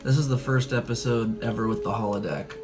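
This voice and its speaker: A man's voice: mid-range voice, steady tone, brisk, loud music behind the voice. A man chatting casually and informally with a friend. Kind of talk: casual chat.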